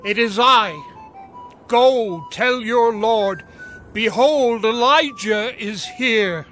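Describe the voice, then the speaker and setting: An actor playing a stern, fervent Hebrew prophet in a dramatized audio Bible, declaiming solemnly. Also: male voice, very high-pitched, extremely slow, pushed and bright, exaggerated voice. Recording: music under the voice.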